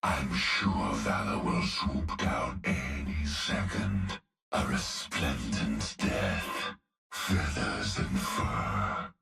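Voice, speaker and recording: Vengeful cyborg brute, extremely deep, gravelly, slow gloating threats, game voice lines, echoey and far off. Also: male voice, fairly bright tone, exaggerated voice. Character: hulking vengeful cyborg executioner man. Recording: echoey, distant, faint music behind.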